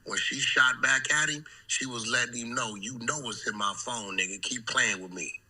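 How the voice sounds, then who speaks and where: A man's voice: medium pitch, normal speed, bright, forceful tone, rough recording. A man giving gossip commentary as a call-in commentator, confrontational, slangy and taunting.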